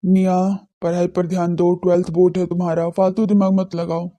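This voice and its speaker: A woman's voice: lowish voice, flat delivery, moderate pace, warm tone, pitched below the performer's own voice, close to an everyday voice. A woman voicing serious, brooding young men in a romance audio drama, with stern, restrained delivery. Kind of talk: audio drama character dialogue.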